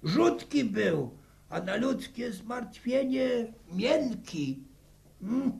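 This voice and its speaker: Very gravelly old Polish peasant. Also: male voice, extremely high pitch, animated, very slow, darkish tone, heavily acted. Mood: indignant. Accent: rural Polish. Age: older. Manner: muttering, stumbling, folksy interjections. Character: grumbly, opinionated old peasant grandfather. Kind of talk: 1960s Polish radio drama acting. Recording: old 1960 radio drama recording.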